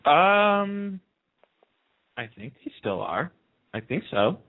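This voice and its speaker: A man's voice: high-pitched, very animated, slow pace, no room sound. A man hosting a radio show, chatting casually and giving his opinions on television. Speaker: radio talk show host. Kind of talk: casual panel chat about TV soaps. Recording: muffled phone-like radio line.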